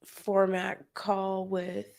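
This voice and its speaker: A woman co-hosting a casual podcast, talking candidly about her week in a soft-spoken way. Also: mid-range voice, completely flat delivery, very slow, creaky. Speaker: podcast co-host.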